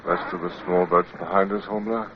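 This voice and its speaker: A plain-spoken British naval lieutenant in a radio sea drama, used to command. His voice is very low and gruff. The recording is dull, with a faint noise under it. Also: level tone, a little fast. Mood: steady, wary.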